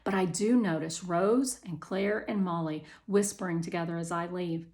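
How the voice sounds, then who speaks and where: Woman, children's story narrator, first-person read-aloud, expressive delivery. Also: lowish voice, normal speed.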